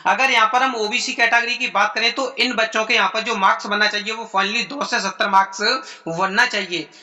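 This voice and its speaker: A man's voice: high-pitched, bright, forceful tone. A man giving an informal explainer to students as an exam-prep presenter, in repetitive, formulaic phrasing.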